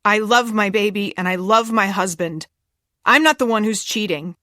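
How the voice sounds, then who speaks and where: A woman's voice: medium pitch, moderate pace, sharp tone, no echo, studio quality, close to an everyday voice. A woman voicing a guarded, defensive young mother in a dramatic audiobook reading.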